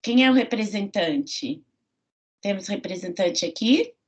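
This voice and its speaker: A woman's voice: mid-range voice, expressive, a little slow. A middle-aged woman with a Minas Gerais accent, a university professor giving an online lecture in a friendly way, full of fillers and tag questions. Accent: Minas Gerais Portuguese. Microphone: computer mic on a video call. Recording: dull lo-fi video call audio.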